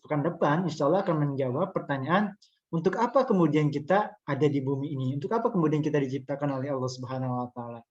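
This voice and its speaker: A man's voice: a little high, a little mellow, highs cut off. A man moderating an online religious study session, talking to the audience with lots of "eh" fillers. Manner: chatty, many "eh" fillers, addresses audience. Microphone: online video call.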